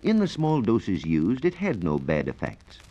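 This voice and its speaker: An American man working as a science reporter gives a formal, measured, scripted explanation in the style of 1940s educational radio. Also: fairly low pitch, wildly swooping pitch, dark tone. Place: radio studio. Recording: dull, lo-fi 1940s radio recording.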